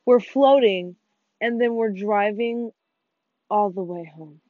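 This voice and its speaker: A teenage girl co-hosting a casual gaming podcast with friends, talking fast, swearing and running herself down, with excited outbursts. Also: medium pitch, no room sound. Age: teens. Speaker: podcast co-host. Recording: muffled dull sound, faint music behind.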